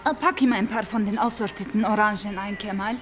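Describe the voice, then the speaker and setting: An actress playing a brisk, bossy female fruit-shop keeper in a radio crime drama. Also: mid-range voice, lightly acted. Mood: impatient. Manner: bossy, impatient. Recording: music playing behind.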